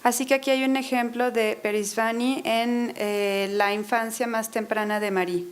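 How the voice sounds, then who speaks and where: Hesitant woman interpreting with a higher voice. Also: steady tone, normal speed, slight background noise. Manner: hesitant, many fillers and self-corrections. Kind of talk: consecutive interpreting of a lecture. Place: university auditorium.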